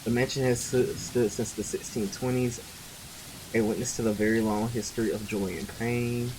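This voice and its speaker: A man's voice: mid-range voice, flat delivery, normal speed, background noise. A man streaming a horror visual novel, reading the narration and cracking loose, slangy jokes between lines. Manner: loose, joking asides with slang. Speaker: game streamer. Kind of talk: reading a visual novel aloud with casual commentary. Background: game music, rain and thunder.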